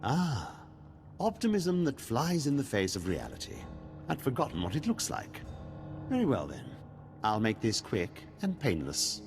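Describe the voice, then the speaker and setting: A man's voice: mid-range voice, huge pitch swings, a little slow, music in the background. A man playing a condescending, coldly confident villain in a video game, speaking formally and theatrically. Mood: mocking menace. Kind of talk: video game cutscene acting. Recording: reverberant game audio, faint noise.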